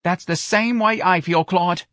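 A man's voice: sits high, measured pace, a bit bright, no echo, pitched above the performer's own voice. A man voicing an emotional, pliable middle-aged mother in an audiobook, with a plaintive, folksy New Orleans drawl. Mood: earnest. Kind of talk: audiobook character voice.

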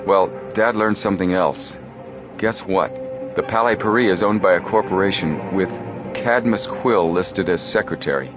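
A man voicing an earnest teenage boy detective with a brisk, eager manner in an audiobook. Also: low voice, music in the background, close to an everyday voice.

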